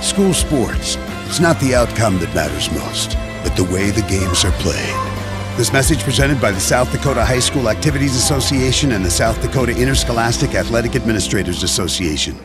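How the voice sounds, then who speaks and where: A male announcer reads a public service message at a medium pitch. His delivery rises and falls a lot over clear background music. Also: lively intonation, a little fast.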